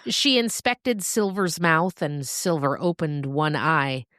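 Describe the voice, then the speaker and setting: A woman narrator reading a scripted story in a deliberate, dramatic storytelling manner. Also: low-pitched, expressive, a bit bright, no room sound, clean studio sound.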